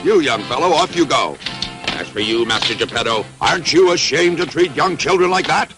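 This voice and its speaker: An actor playing a stern village constable in a cartoon dub, scolding and authoritative. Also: male voice, a little high, expressive, normal speed, natural voice. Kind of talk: animated cartoon dub acting. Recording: music behind the voice.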